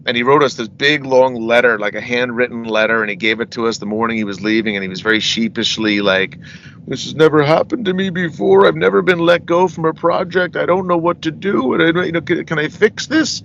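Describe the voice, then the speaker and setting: A man's voice: mid-range voice, brisk, gravelly, a bit bright, lo-fi sound, a little hiss or hum. A middle-aged man, a metal singer, telling rambling stories as an interview guest, often asking "you know what I mean" and laughing between anecdotes. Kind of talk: casual storytelling interview.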